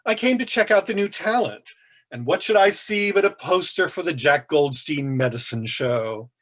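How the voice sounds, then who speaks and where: A flamboyant, catty older man as a male author reads him aloud, holding court in a camp, theatrical way. The voice is husky and sits on the high side, with grand, drawn-out emphasis on choice words. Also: expressive, a bit bright. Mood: arch, teasing.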